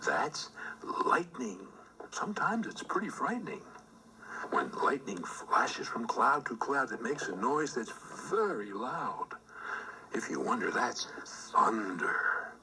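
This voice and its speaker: Slow, highly animated male storybook reader. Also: background noise. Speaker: children's book reader. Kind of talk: scripted storybook narration.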